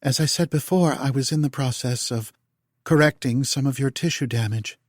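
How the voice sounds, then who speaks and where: A man voicing a calm, clinical female AI that speaks in precise, measured sentences. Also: mid-range voice, dry recording, studio quality, voice pushed up, close to an everyday voice.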